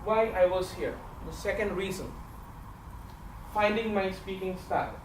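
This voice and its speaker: A man's voice: high-pitched, measured pace. A man in his thirties with an Indian accent, giving a humorous farewell speech to a speaking club in an office meeting room. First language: not English; English is his third language. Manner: storytelling with comic timing, animated gestures. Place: large office meeting room with windows and a projection screen. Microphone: far camera, no mic. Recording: echoey room from far off, audience noise.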